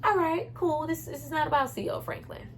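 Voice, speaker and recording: A woman's voice: sits high, a little fast, slight background noise. A woman giving a casual, opinionated movie review in a candid, conversational and emphatic way. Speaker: film reviewer and host.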